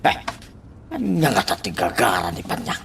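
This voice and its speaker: A man's voice: low-pitched, huge pitch swings, moderate pace, creaky, fairly bright tone, slight background noise, exaggerated voice. An actor playing a nosy man who mutters and exclaims to himself as he watches others, in an Ilocano radio drama. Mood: suspicious, puzzled.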